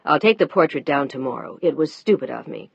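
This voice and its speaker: A woman voicing a husband, dropping lower and speaking curtly in a marital quarrel. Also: animated, brisk, gravelly, slightly rough, no room sound, lo-fi sound, exaggerated voice. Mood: tender. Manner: low, curt, annoyed. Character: man, a husband, tired then tender.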